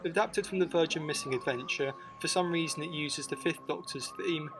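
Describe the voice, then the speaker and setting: Guy doing video essay voiceover, bit high pitched, matter of fact, faint music under. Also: a little fast. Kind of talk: scripted documentary narration. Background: music bed.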